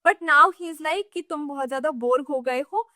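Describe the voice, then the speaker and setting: A woman's voice: high-pitched, measured pace, sharp tone, no room sound, clean studio sound. A young Indian woman teaching an exam revision lesson in a chatty way, switching languages and often saying "you know". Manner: chatty code-switching explainer with many "you know" fillers. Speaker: English teacher.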